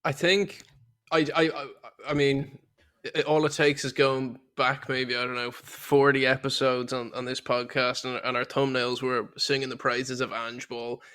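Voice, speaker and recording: A man's voice: mid-range voice, level tone, measured pace, fairly bright tone. Hesitant man chatting casually about football. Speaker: football podcast co-host.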